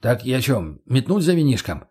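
A man's voice: medium pitch, big pitch swings, normal speed, a little mellow, slightly rough. A man playing a big, crude, easygoing thug in an audiobook, casual, blunt and slangy.

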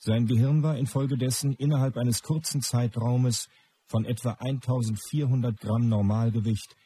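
A man's voice: medium pitch, steady tone, normal speed, breathy edge, no echo, lightly acted. A male actor playing a solemn, declamatory narrator in a scripted radio documentary. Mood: matter-of-fact. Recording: loud music behind the voice.

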